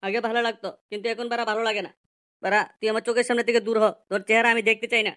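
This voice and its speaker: A woman voicing a crude, bullying, hard-drinking village husband in an amateur comedy cartoon, with a coarse blustering manner and artificially raised pitch. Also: level tone, very fast, a bit bright, no room sound, clean studio sound, natural voice. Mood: belligerent.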